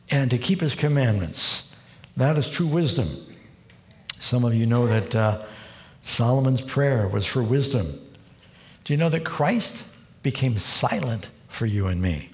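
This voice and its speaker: A man's voice: on the high side, a little mellow. A man delivering a sermon, quoting scripture and telling stories with acted-out dialogue in a folksy way. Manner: folksy storytelling, quotes scripture, acts out dialogue. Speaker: preacher. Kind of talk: sermon with storytelling. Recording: long hall reverb.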